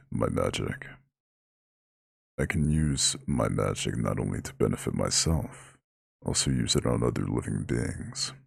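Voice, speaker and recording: Ancient eldritch god for asmr roleplay, super deep husky growl, slow n soothing but proud, close dry mic. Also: male voice, dry recording, heavily acted. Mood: proud, indignant. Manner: slow, soothing, formal speech with proud touches. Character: ancient male eldritch god, horned, imposing, gently caring.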